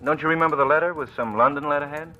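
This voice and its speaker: An actor playing a brisk, teasing, protective grown son in a 1940s radio drama. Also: male voice, fairly high pitch, bright, forceful tone, highs cut off. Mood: amused, brisk. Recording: vintage radio broadcast, faint music.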